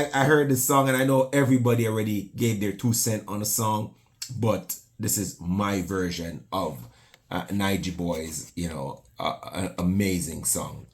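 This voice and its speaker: A man's voice: fairly low pitch, takes it slowly. Casual Jamaican man vlogging. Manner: animated, slips into patois, many fillers. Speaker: YouTuber reacting to music. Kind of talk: casual reaction vlog talk.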